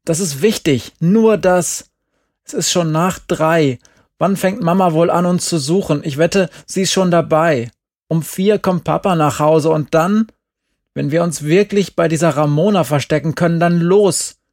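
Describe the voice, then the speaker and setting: A man voices an agitated teenage boy in an audiobook, shouting in a raised, strained tone. The voice stays high and pressing, with a gravelly edge underneath. The recording is close and dry. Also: a little slow, no room sound. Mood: urgent. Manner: urgent, shouting, swearing. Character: frantic, bossy teenage boy.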